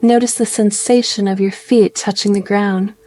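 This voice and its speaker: A woman guiding a meditation in a gentle, soothing way, speaking in slow phrases with pauses. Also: mid-range voice, fairly even intonation. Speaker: meditation guide. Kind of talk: guided meditation narration.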